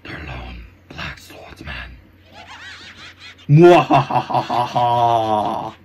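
A man voicing a mocking, sadistic ghost in a hushed, breathy whisper over music. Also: rumbling low, fairly even intonation, unhurried, voice pushed down, exaggerated voice. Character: mocking sadistic male ghost without a body.

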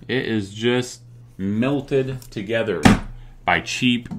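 A man's voice: medium pitch, slow. A middle-aged man talking casually and thinking aloud as he hosts a hands-on teardown video. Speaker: video host. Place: indoors at a tabletop workspace. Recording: noticeable background noise.

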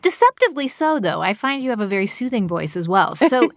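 A woman's voice: on the high side, big pitch swings, brisk, no room sound, clean studio sound. A woman hosting a podcast interview, asking curious, probing questions. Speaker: podcast host.

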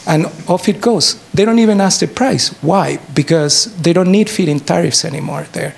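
A man's voice: on the high side, normal speed, creaky. A middle-aged man with a Chilean accent and native Spanish, answering an audience question as a professor, emphatic and fond of rhetorical questions. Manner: animated explaining, short emphatic statements, rhetorical questions. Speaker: professor giving a talk. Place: university lecture theatre. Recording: noisy lecture hall recording.

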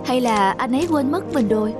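A woman's voice: a little high, lively intonation, brisk, music in the background, natural voice. A woman voicing a humble, wry young male commoner in a cartoon folk tale. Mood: anxious waiting. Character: humble, wry young commoner man seeking old friend.

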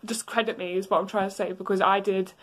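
A woman's voice: mid-range voice, little pitch movement, quick pace, a bit bright. A young adult woman talking casually in a vlog, rambling a little hesitantly, recorded on a phone at arm's length in a bedroom. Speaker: amateur cosplayer and songwriter. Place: small bedroom.

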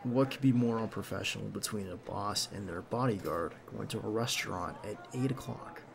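A man playing a nervous, devoted bodyguard on a date in an intimate ASMR roleplay, speaking softly and hesitantly with some gentle teasing. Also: on the low side, moderate pace, a crowd in the background. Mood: casual, attentive. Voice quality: creaky. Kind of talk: ASMR roleplay acting, intimate one-sided dialogue.

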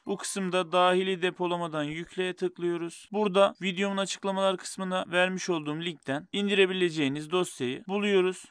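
A man's voice: high voice, fairly bright tone, clear, resonant tone, dry recording, clean studio sound. A man narrating an instructional phone tutorial in a methodical, step-by-step way. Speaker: tech tutorial host.

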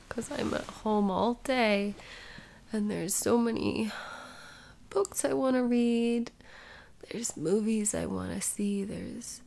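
Slow, creaky, sleepy sick girlfriend played by a woman. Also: fairly high pitch, darkish tone, slight background noise, exaggerated voice. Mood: tired, frustrated. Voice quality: breathy, often dropping into a whisper. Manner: drowsy, drawn-out, sighing, intimate. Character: sick, sleepy, clingy girlfriend, sweet and whiny. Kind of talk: ASMR girlfriend roleplay audio.

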